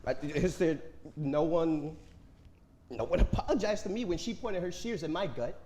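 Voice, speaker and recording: Mellow, sneering bully of a boss played by a man. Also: a little high, moderate pace, lightly acted. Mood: sneering contempt. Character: contemptuous, bullying male boss with authority, menacing villain. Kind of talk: theatrical staged reading.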